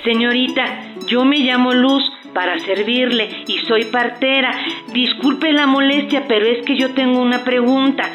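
A woman's voice: high voice, fairly even intonation, normal speed, natural voice. Woman playing muffled humble Mexican midwife caller. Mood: humble, curious. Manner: deferential, inquiring. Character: humble, courteous female village midwife calling. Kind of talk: scripted radio drama. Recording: dull band-limited caller sound. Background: music.

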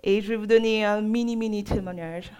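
A young woman from Nigeria, preaching and giving a personal testimony in short phrases with pauses for an interpreter. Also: mid-range voice, fairly even intonation, mellow. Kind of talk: sermon in French.

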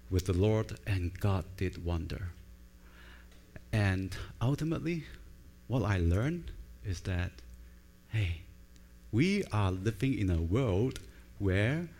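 A man's voice: lowish voice, a little mellow. A middle-aged man from Hong Kong, a native Cantonese speaker with a Cantonese accent, preaching a conversational sermon as a pastor and informally checking in with his listeners. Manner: informal, with frequent check-in tags like "right?" and "okay?".

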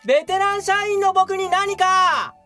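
A woman's voice: very high voice, unhurried, sharp tone, soft background music, full character voice. A woman playing a cocky, clueless male office worker, theatrical and brazen, in a comic radio drama. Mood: brazen, accusing.

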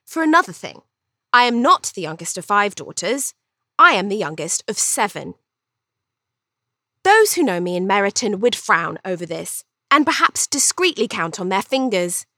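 A woman's voice: medium pitch, sing-song, moderate pace, bright, forceful tone, dry recording, studio quality, heavily acted. A woman voicing a cheeky, vain young Regency Englishwoman telling her own story in an arch, chatty, theatrical way for an audiobook. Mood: boastful, gossipy. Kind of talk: first-person audiobook storytelling.

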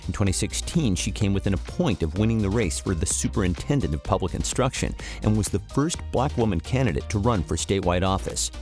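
A man hosting a podcast, reading a formal introduction and asking interview questions in a measured way. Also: lowish voice, animated, a little mellow, faint music behind. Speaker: podcast host.

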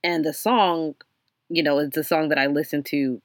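A young adult woman hosting her own podcast, talking alone in an earnest, reflective way with frequent ums and restarts, her voice slightly hoarse. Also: sits low, fairly even intonation, moderate pace, dry recording, studio quality. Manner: many ums and restarts, faith-centered, earnest. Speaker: podcast host. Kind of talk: reflective solo podcast monologue.

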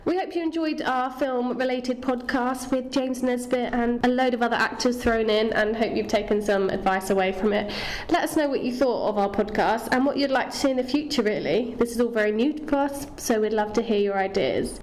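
A woman's voice: fairly high pitch, fairly quick, a little mellow, a little hiss or hum. A woman hosting a podcast, addressing listeners in a chatty, informal way. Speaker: podcast host.

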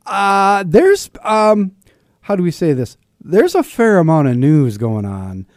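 A male radio host with a mellow, relaxed voice, recorded close and dry. He talks casually about politics in a chummy, wry manner, often halting as he feels his way through a question. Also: high-pitched, no echo. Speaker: radio host interviewing a guest. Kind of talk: casual political talk interview.

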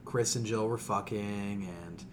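A man's voice: lowish voice, fairly even intonation, unhurried, noisy background. A man co-hosting a podcast in casual chat, opinionated, slangy and given to swearing. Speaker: podcast co-host.

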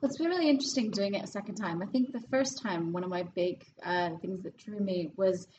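An articulate woman in her early thirties explains her interest in a play in a brisk way. She sits away from the microphone. The sound is muffled, with a faint hiss behind it. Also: medium pitch, a little mellow, distant mic. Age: 30s. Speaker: actor in the cast. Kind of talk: casual group interview. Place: rehearsal room.

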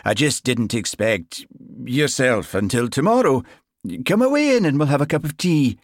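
A man voicing an affable, folksy police sergeant with a Scottish Highland accent, in an audiobook. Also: animated, normal speed, no room sound, studio quality, close to an everyday voice. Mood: flustered. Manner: informal, folksy. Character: lanky Highland police sergeant, affable, easily flustered, sly.

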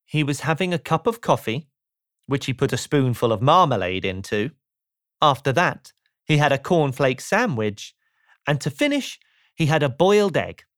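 A man's voice: mid-range voice, sing-song, normal speed, fairly bright tone, no room sound, clean studio sound. A man narrating a children's storybook as an audiobook, in a playful way that invites the listener in. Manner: playful, asks the listener rhetorical questions.

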